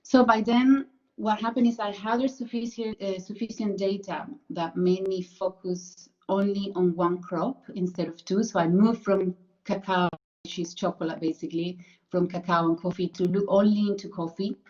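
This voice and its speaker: A woman's voice: mid-range voice, steady tone, moderate pace, darkish tone, dull audio. A woman from Colombia with a Colombian Spanish accent, presenting her PhD research carefully, with frequent fillers and self-corrections. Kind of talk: academic presentation.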